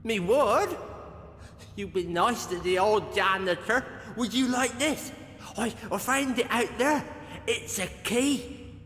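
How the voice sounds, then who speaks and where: A man's voice: high-pitched, takes it slowly, pushed and bright, heavily acted. A man voicing a timid old janitor creature in a video game, pleading in simple broken grammar. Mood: meek, trusting. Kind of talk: video game character acting. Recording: echoey reverberant cave sound, noisy ambience.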